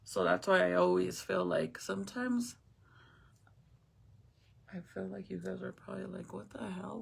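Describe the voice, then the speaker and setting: Casual woman streamer, first language Spanish, gravelly fry, lively up-and-down delivery, swears easily. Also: medium pitch. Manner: chatty storyteller, swears casually. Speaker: live streamer. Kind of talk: casual chat with viewers.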